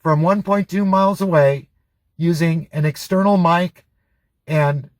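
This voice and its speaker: A man doing radio test calls and product review narration in a plain, measured way. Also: a little high. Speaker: host of a radio review channel.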